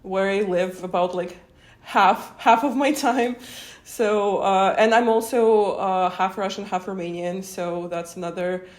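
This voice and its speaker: A woman's voice: mid-range voice, a little slow. Young woman guest, talkative and giggly, casual interview chat. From Spain. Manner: chatty, laughs often, many fillers. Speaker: interview guest, wrestling show host.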